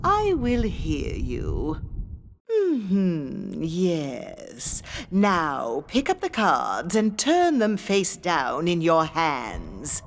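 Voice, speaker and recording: An actress playing a haughty, scornful ghost medium who guards a haunted mansion, speaking in a theatrical, condescending monologue. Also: female voice, fairly low pitch, wildly swooping pitch, very slow, a little hiss or hum, exaggerated voice. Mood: commanding, condescending. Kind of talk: theatrical scripted monologue addressed to the listener.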